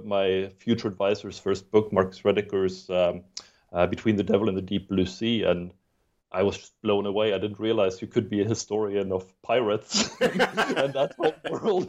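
A man's voice: on the low side, darkish tone, dull audio. A man from Sweden, raised in Germany, speaking English as a second language with a mixed European accent; a historian talking as a podcast guest, hesitant, with many ums and restarts. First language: not English. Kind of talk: podcast interview.

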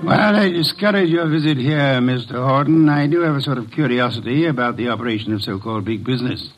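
An actor playing an urbane, sardonic private detective in an old radio drama, wordy and self-assured. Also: male voice, mid-range voice, fast, soft background music, natural voice, low-quality recording. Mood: wry.

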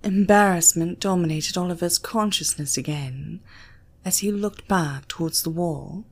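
A woman with a British accent giving a dramatic narration of a scripted story. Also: lowish voice. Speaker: audiobook narrator.

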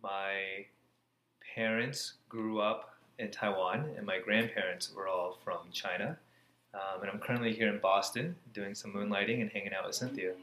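A man's voice: fairly low pitch, steady tone, normal speed, pushed and bright. An American man in his thirties, answering interview questions in a casual way. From Florida, USA. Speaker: interview guest, cardiology fellow. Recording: echoey room, faint background noise.

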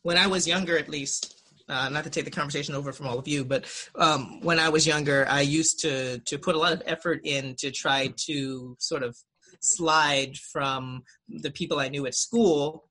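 A man's voice: fairly high pitch, fairly even intonation, normal speed, bright, forceful tone. A man on a video call in a class discussion, speaking thoughtfully with frequent fillers and restarts. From United States. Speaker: student in a linguistics discussion.

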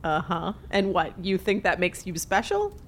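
A woman's voice: fairly low pitch, sing-song, normal speed, a little hiss or hum. A woman playing a skeptical, sarcastic hometown returnee in an audio drama. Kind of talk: naturalistic audio drama acting.

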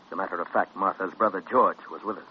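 A man's voice: deep voice, steady tone, quick pace, close to an everyday voice. An actor playing a sober, forgiving middle-aged father in 1950s radio drama. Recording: dull, noisy old radio recording.

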